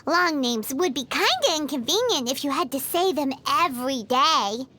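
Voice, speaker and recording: Game fairy sidekick: childlike, chirpy, easily flustered, sky-high squeaky pitch with bouncy intonation. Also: female voice, animated, normal speed, bright, forceful tone. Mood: chatty, thoughtful. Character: tiny excitable childlike fairy companion girl, chatty and naive. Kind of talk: video game character acting.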